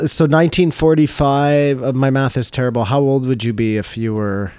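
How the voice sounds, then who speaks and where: A man's voice: medium pitch, fairly even intonation, moderate pace, darkish tone, smooth, no echo. A man co-hosting a podcast, chatting casually in a blunt, rambling, sweary way. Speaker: podcast co-host. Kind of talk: casual banter.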